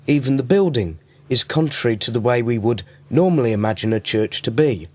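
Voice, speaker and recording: A man's voice: medium pitch, lively intonation, brisk, a little mellow. A man working as a radio reporter, reading scripted narration and putting interview questions.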